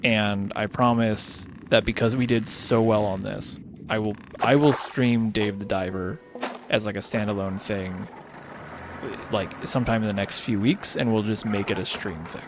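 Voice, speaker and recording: Man, lowish voice, slightly hoarse and tired, casual rambling game streamer, steady background noise. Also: normal speed. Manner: rambling, thinking aloud. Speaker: livestreamer hosting a charity gaming stream.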